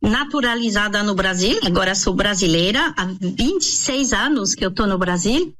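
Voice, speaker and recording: A woman's voice: medium pitch, animated, normal speed, a bit bright. A woman from France, a nutrition professional answering interview questions in a thoughtful way, with many fillers and restarts. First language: French.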